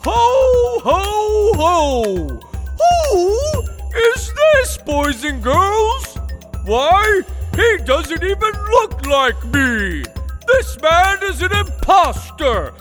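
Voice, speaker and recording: A man's voice: very high-pitched, very animated, drawn-out words, over music, voice pushed up, exaggerated voice. A man voicing a boastful, jolly fake mall Santa, bellowing theatrical proclamations. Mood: mock indignant, proclaiming.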